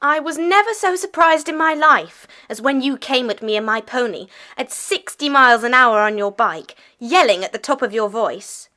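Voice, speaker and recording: A woman's voice: sits high, expressive, normal speed, hardly any pauses, pushed and bright. A woman playing an earnest, affectionate runaway schoolgirl in an audiobook dramatization. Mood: happy, eager.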